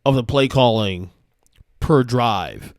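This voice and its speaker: A man's voice: mid-range voice, sing-song, takes it slowly, no room sound, studio quality. Rambling forty-year-old male podcast host. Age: 40s. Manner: rambling, self-correcting, chatty. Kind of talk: casual solo sports talk.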